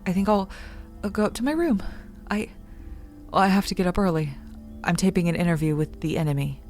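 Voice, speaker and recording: Actress as an earnest young woman, lowish voice. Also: brisk, darkish tone, faint music behind, close to an everyday voice. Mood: urgent, pleading. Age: young adult. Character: earnest, yearning young daughter secretly in love. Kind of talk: radio drama acting.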